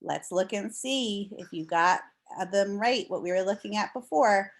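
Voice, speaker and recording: An American woman reading a non-fiction book aloud to young children as a teacher, explaining it in a deliberate way and addressing her listeners directly. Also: medium pitch.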